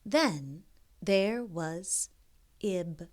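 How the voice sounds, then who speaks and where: A woman tutor reads a children's picture book aloud at an unhurried pace. Her pitch rises and falls in lively swings, and she often breaks off to check in with the young listener in an expressive, guiding way. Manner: expressive, pausing to ask and prompt the listener.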